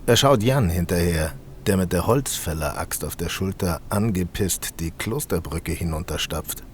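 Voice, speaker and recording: A man's voice: low-pitched, normal speed, a little hiss or hum, natural voice. A man playing a sarcastic, grumbling audio play narrator who mocks the story theatrically and breaks character with snide asides. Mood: wry narration. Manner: theatrical, mocking.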